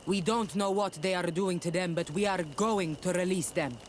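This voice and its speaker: A man's voice: sits high, normal speed, slight background noise, close to an everyday voice. A man playing a proud, stern rebel warrior leader in a video game, speaking bluntly and solemnly. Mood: defiant, grave. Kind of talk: scripted video game cutscene dialogue.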